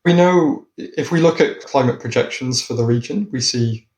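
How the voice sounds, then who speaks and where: A man who is a climate scientist, giving measured, explanatory answers in an interview. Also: medium pitch, darkish tone. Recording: roomy echo.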